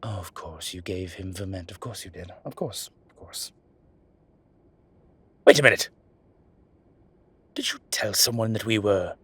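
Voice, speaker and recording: A man's voice: deep voice, animated, unhurried, long pauses, fairly bright tone, breathy edge, no room sound, exaggerated voice. A man playing an exasperated, protective male vampire in an ASMR roleplay drama, scolding and sardonic. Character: exasperated, protective male vampire, dry-witted and world-weary. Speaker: voice actor.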